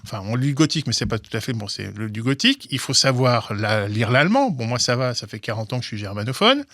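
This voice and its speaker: A man explaining legal history in an interview, talking haltingly with many restarts and repeated words. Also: medium pitch, big pitch swings, fairly bright tone, no echo, studio quality. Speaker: guest, legal commentator.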